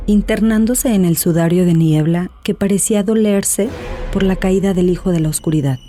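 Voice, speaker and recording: A woman's voice: fairly low pitch, dark tone. A woman reading dramatic scripted audiobook narration. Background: background music.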